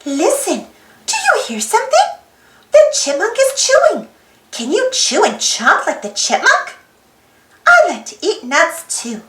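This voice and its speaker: A woman reading a children's storybook aloud in an expressive, sing-song way, putting simple questions to young listeners. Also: extremely high pitch, measured pace, echoey room, a little hiss or hum. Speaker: storybook reader. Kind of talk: children's read-aloud narration.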